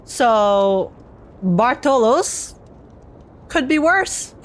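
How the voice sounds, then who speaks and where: A woman's voice: a little high, animated, drawn-out words. A woman streaming a game and reacting casually to the story, playful and exclamatory, full of slang. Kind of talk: casual live reaction commentary. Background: game music.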